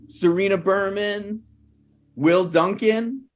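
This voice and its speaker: A man casually introducing a cast on a live stream as writer and host, hesitant and halting. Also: high voice.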